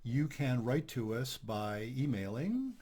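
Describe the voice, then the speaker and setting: An older man co-hosts a podcast about couples, speaking in a relaxed, chatty manner. His voice sits at an ordinary middle pitch for a man. He pokes gentle fun at himself as he talks. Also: slow, husky. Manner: relaxed, chatty, self-deprecating humor. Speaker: podcast host, couples therapist. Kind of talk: casual podcast chat.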